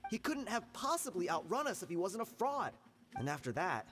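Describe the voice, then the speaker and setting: An actor playing a boastful but cowardly mercenary grunt in a game, sneering and then stammering when threatened. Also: male voice, high voice, sing-song, normal speed, a bit bright. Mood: bitter. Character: cowardly boastful mercenary thug, adult man. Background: game music.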